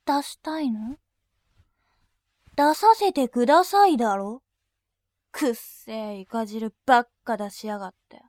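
A woman playing a dominating female bank clerk, high voice, unhurried, formal and deliberate, dry close sound. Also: no room sound. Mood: scornful. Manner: very formal polite speech, slow and deliberate. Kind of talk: adult audio drama acting.

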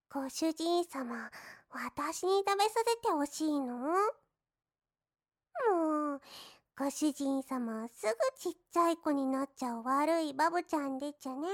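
High, slow baby-talk maid, mocking her master, played by a woman. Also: a bit bright. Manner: sing-song baby talk with lisped endings. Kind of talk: roleplay audio drama.

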